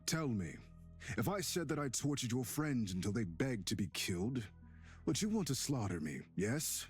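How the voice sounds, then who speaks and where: A man voicing a bitter, mocking centuries-old demon in a young man's body, with theatrical drawn-out delivery, for a visual novel game. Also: medium pitch, very animated, close to an everyday voice. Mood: bitter, taunting. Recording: music behind the voice.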